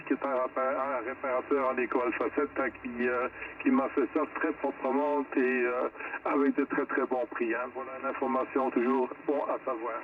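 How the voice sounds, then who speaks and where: A man chatting casually as an amateur radio operator, explaining things in a rambling way over a shortwave link. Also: mid-range voice. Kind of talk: casual ham radio contact. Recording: distant narrow-band shortwave radio, faint static.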